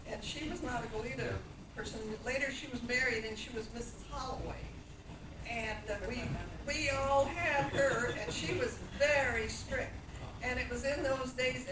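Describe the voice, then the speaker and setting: A woman's voice: on the high side, roomy sound, distant mic, background noise. An older woman recalling her childhood at a community forum, a chatty storyteller with frequent fillers. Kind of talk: oral history recollection at a public forum.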